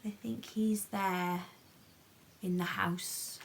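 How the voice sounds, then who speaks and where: A woman reading a picture book to children in an animated, playful way, with chatty asides and questions to her listeners. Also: mid-range voice, extremely slow, noisy background. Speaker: children's storybook reader.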